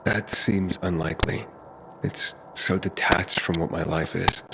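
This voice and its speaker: Plain-spoken survivor, very deep voice, low-key candid delivery, game cutscene. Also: male voice, steady tone, measured pace, heavy background noise. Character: young male survivor, plain-spoken and honest.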